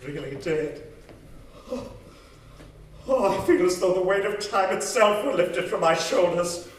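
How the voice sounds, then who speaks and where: A man's voice: high-pitched, expressive, a little slow. Man acting a tormented young scientist, echoey hall. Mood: relieved. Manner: theatrical, impassioned, declamatory. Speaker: actor in a live radio drama. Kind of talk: old-time radio horror melodrama acting. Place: live stage in a community hall. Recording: echoey hall, distant mic, faint noise.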